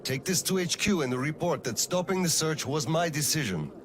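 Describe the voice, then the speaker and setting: Man acting weary, principled colonel, lively intonation. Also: on the high side, a little fast, crowd noise, lightly acted. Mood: firm, authoritative. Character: weary, principled middle-aged male colonel, a gentle father. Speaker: video game character. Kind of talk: cinematic game dialogue acting.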